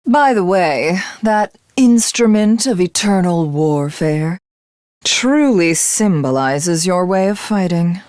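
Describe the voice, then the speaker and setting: Proud cold warrior queen type for a game, slow n formal, pitch swings a lot, super dry studio sound. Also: expressive, a little mellow, dry recording. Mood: calm, philosophical. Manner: imperious, measured, formal, commanding. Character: proud, cold alien warrior woman, supreme commander. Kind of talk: video game character voice acting.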